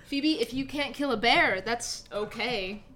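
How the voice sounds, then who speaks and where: A woman playing a tabletop game, earnest and gentle, a little anxious. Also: a little high, a little slow, background noise. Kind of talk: casual chat while playing.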